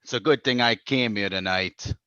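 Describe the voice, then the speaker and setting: A man's voice: lowish voice, normal speed, pushed and bright, clear, resonant tone, no echo, dull audio. A man playing a blustering crooked broker. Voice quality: blustering, tough-talking. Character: blustering angry male crooked stockbroker. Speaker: stage actor in radio play. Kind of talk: live old-time radio drama.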